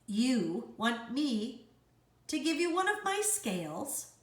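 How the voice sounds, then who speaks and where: A woman's voice: high-pitched, big pitch swings, takes it slowly, voice pushed up, full character voice. A woman voicing a proud, vain male fish with a haughty, dramatic manner in a children's storybook. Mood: indignant. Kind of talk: children's storybook read-aloud. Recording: roomy, echoey indoor sound.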